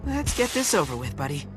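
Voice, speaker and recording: An actor voicing a timid, earnest, bumbling small male creature in a video game, with an American accent and a hesitant, nervous way of talking. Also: mid-range voice. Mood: dejected. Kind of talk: cartoonish video game character acting. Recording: loud game music behind. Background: game music.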